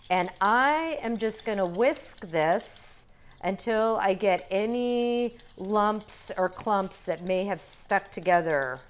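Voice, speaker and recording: An older woman calmly demonstrating recipes step by step as a cooking instructor in a teaching kitchen. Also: medium pitch. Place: home-style teaching kitchen.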